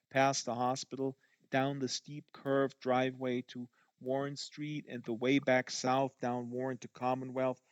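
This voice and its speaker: A man's voice: medium pitch, no room sound. A man reading literary fiction aloud as a narrator, in a dull, lo-fi recording. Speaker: audiobook reader, show host. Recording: dull lo-fi audio.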